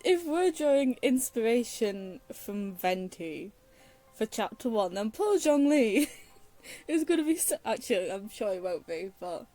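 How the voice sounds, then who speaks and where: A woman commentating on a game in a fairly high, mellow voice. She talks slowly and casually, hesitant and rambling with a giggly manner. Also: big pitch swings, faint music behind. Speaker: video game playthrough commentator. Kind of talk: casual reaction commentary while gaming.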